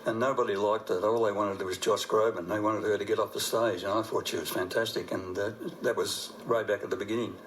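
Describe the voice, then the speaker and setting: Low-voiced older Australian man on radio. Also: fairly even intonation, brisk, few pauses, a bit bright, poor audio. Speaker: veteran radio presenter. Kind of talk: casual on-air chat.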